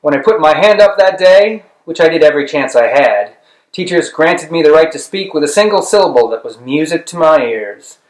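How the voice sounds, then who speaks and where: A man reading literary narration as an audiobook, in a deliberate, dramatic manner with pauses. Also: fairly high pitch, animated. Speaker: audiobook reader. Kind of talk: expressive scripted literary narration. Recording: roomy, echoing room sound.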